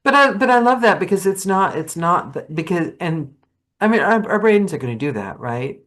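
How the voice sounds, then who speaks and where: A woman's voice: fairly low pitch, expressive, moderate pace, fairly bright tone. A middle-aged woman in casual conversation, candid and emphatic, often putting her points as rhetorical questions. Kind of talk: casual chat.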